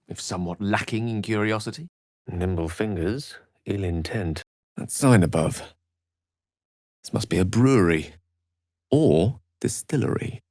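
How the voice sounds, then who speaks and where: An actor voicing a wry, bookish human wizard in a video game, speaking with a British accent in an articulate, theatrical and wordy manner. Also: male voice, lowish voice, no room sound, studio quality.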